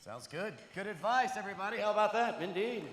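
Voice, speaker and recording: A man with a fairly high, very animated voice, emceeing a conference lunch with upbeat, playful patter. He speaks in a large banquet hall, so his voice rings with long, roomy reverb. Faint noise sits under it. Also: fast talker. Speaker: event host.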